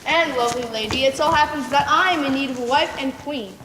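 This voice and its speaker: A high-voiced young teen on a school stage playing a stately, decisive sultan. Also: female voice, fast talker, close to an everyday voice. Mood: commanding. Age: young teen middle schooler. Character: stately, decisive sultan of Persia, a male ruler. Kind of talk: school stage play acting, declaimed lines. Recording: distant echoey hall, faint noise.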